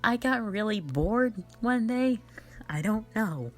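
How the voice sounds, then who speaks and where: A woman's voice: fairly high pitch, lively intonation, slow. A woman in a casual question-and-answer style, reading out fan questions and replying in a playful, rambling, self-deprecating way. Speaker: ASMR and audio roleplay voice creator. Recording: faint music behind the voice. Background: faint background music.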